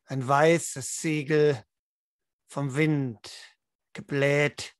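A man's voice: a little high, steady tone, very slow, no room sound, clean studio sound. A man leading a tabletop role-playing game as game master, explaining and narrating. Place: indoor room at home, online video call.

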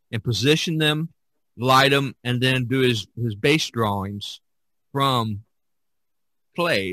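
A man chatting casually as a podcast host, halting and often restarting his phrases. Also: mid-range voice, pushed and bright, no room sound, low-quality recording. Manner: halting, with repeated false starts. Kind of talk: casual chat about art.

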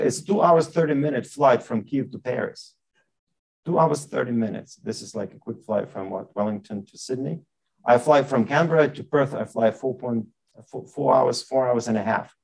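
A middle-aged man whose first language is Ukrainian, answering audience questions in an animated way, restarting often, in a lecture room heard from a distant camera. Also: medium pitch, gravelly. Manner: animated, repeats himself, many restarts. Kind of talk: Q&A answer at a public address. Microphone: far camera, standing at lectern.

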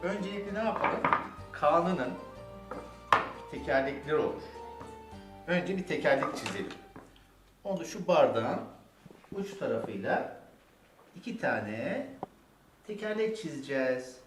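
A man's voice: on the high side, lively intonation, a little slow, long pauses. An older man, a grandfather guiding children through crafts step by step with endearments and simple questions. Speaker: grandfather hosting a children's toy-making show. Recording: roomy echo with music. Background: music.

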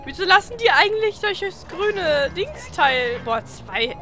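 A young woman giving playful, casual game commentary in a silly, excitable manner. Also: very high-pitched, a little slow, music in the background. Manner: silly, excitable, breaking into exclamations and singsong. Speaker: let's play host.

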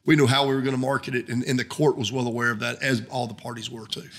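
Fast-talking folksy Southern man. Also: medium pitch, flat delivery. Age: middle-aged. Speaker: guest, auctioneer. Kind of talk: conversational podcast interview, explaining his business. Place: indoor podcast studio at a round table. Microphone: boom-mounted podcast microphone up close.

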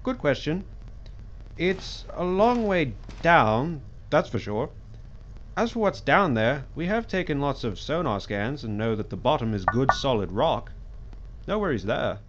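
An actor playing a smarmy, self-satisfied corporate boss with a glib, patronizing manner in an audio drama. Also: male voice, medium pitch, sing-song, a little slow. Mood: breezy, evasive. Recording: dull, noisy audio.

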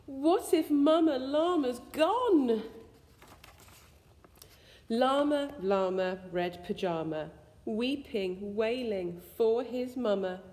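A woman reads a rhyming children's picture book aloud in an expressive, sing-song storytelling way. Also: fairly high pitch, takes it slowly. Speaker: school staff member reading a bedtime story. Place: school room with suspended ceiling, indoors. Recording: echoey indoor room with long reverb.

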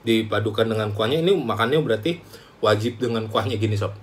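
A young man, chatting casually to camera in a small room as a food vlogger, animated and talkative. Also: on the low side, fast, a little hiss or hum. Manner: chatty, animated, calls himself by name. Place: small room indoors, plain wall.

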